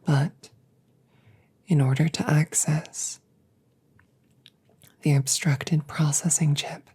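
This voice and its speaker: A woman playing a calm, reassuring damaged female android in an ASMR audio roleplay, speaking in soft, breathy whispers with measured, formal phrasing. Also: low voice, heavily acted. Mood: calm, instructing. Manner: slow, measured, precise formal phrasing with pauses.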